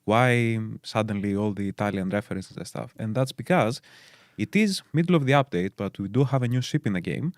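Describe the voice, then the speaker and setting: A man's voice: lowish voice, lively intonation, a little fast, hardly any pauses, no echo, studio quality. A man from Greece with a Greek accent, co-hosting a game stream and chatting casually with lots of fillers as he explains game details. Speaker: stream co-host.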